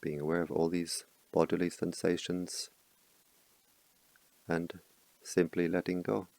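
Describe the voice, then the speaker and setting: Deep, gravelly man guiding meditation. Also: dry recording. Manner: slow, calm, soft-spoken instructions with long pauses. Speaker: meditation teacher. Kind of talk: guided meditation.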